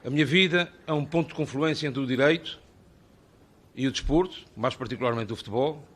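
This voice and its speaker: A man's voice: mid-range voice, fairly quick, a bit bright. Azorean man giving an ornate formal address. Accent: Azorean Portuguese. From Azores, Portugal. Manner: ornate, rhetorical, long listing phrases. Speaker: invited speaker at a parliamentary hearing.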